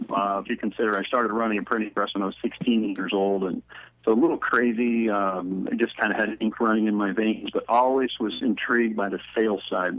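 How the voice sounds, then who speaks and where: A man in his forties from northern Indiana with a Midwestern American accent, telling his story in an easygoing way as a guest calling in. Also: fairly low pitch. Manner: easygoing storytelling, with ums and uhs. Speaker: guest, business consultant. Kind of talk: phone-in interview. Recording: dull dry phone-line audio.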